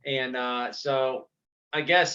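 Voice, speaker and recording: Through a dull, lo-fi connection, a man with a bright, forceful voice hosts a casual interview. He talks loosely and informally, interjecting agreement as he goes. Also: medium pitch, steady tone, slow. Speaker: interview host of a livestream channel. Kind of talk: casual chat.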